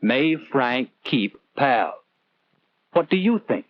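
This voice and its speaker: A man's voice: mid-range voice, very animated, takes it slowly, dry recording. 1940s educational film narrator, man, lo-fi soundtrack. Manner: earnest, conversational, rhetorical questions to the viewer. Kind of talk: 1940s instructional film narration, scripted storytelling.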